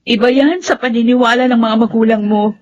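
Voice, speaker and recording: An actress with a Filipino accent playing a sorrowful, resigned young sweetheart in a melodramatic radio drama. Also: female voice, on the high side, steady tone, brisk, a little mellow, close to an everyday voice. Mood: hurt, resigned. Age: young adult. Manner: plaintive, melodramatic. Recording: dull audio with music behind. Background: music.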